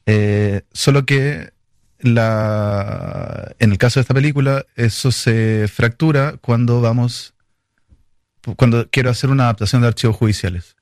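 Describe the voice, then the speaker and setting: A man's voice: medium pitch, steady tone, measured pace, a little mellow, no echo, clean studio sound. A man, a film director, answering interview questions in a hesitant way with many fillers and restarts.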